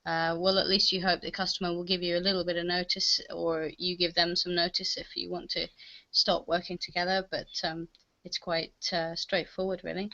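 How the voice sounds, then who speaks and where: A woman from the United Kingdom who works as a virtual assistant, speaking in a relaxed, slightly hesitant way on a video call. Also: on the low side, moderate pace. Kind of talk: casual video call discussion. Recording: dull video call audio.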